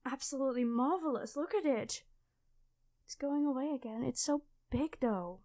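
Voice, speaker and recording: High-pitched, flustered, nerdy young classmate, played by a woman. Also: moderate pace, dark tone, close to an everyday voice. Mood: soft wonder. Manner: stammering, breathless rambling, over-apologetic. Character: clumsy, nerdy, excitable female classmate, flustered and apologetic. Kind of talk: ASMR audio roleplay addressed to the listener.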